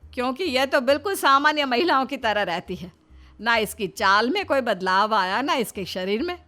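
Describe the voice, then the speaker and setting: A woman's voice: a little high, sing-song, moderate pace, sharp tone, soft background music. A woman voicing a stern, suspicious older mother-in-law in a story, emphatic and scolding.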